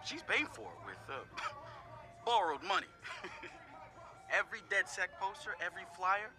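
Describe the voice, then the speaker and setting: A man's voice: on the high side, close to an everyday voice. An actor playing a laid-back young male hacker who sizes up his teammates in a game audio log, talking casually with profane, wry asides. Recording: clear music behind the voice.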